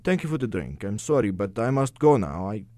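Guy doing an old polite exiled intellectual, radio drama, formal n hesitant, soft mellow tone, pitch all over the place. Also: very animated, brisk, no echo. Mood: nervous, apologetic. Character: courteous, nervous, exiled Romanian male intellectual, older.

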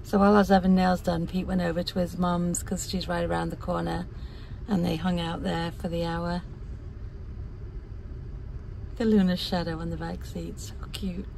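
A woman's voice: lowish voice, flat delivery, a little fast, gravelly, darkish tone, low-quality recording. A middle-aged woman chatting casually to viewers, recorded on a handheld phone inside a car. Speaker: vlogger. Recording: vehicle noise inside a car.

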